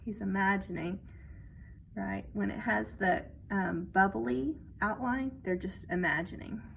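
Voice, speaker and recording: A woman reading a children's picture book aloud expressively, pausing to add explanations and check-in questions for young listeners. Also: mid-range voice, vocal fry, a little hiss or hum. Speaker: read-aloud storyteller.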